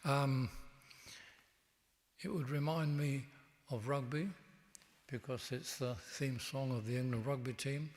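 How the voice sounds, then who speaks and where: A man speaking as an interview guest in a church, reflective and halting, with frequent restarts. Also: medium pitch, fairly even intonation, a little mellow. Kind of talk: reflective conversation. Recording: long church reverb.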